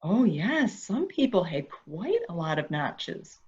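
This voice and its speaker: An actress plays a calculating woman in an amateur mystery drama, coaxing and deliberate. Her pace is slow and her pitch swings widely. The recording is slightly dull and lo-fi. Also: sing-song. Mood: coaxing, goading. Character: calculating woman coaxing a dangerous childlike man. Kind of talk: amateur radio-play acting.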